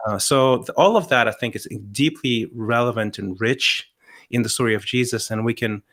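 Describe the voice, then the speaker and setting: Man, Chilean accent, mid-pitched voice, reflective podcast co-host, restarts sentences, rises in pitch to stress a point. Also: normal speed, a bit bright. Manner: reflective, restarts often, rising emphasis. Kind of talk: casual faith conversation.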